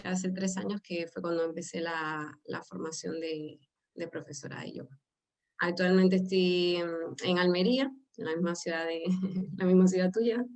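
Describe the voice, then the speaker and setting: A woman from Venezuela with a Venezuelan accent, a yoga teacher answering interview questions in a hesitant, grateful way. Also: lowish voice. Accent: Venezuelan Spanish. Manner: hesitant, grateful, soft-spoken with small laughs.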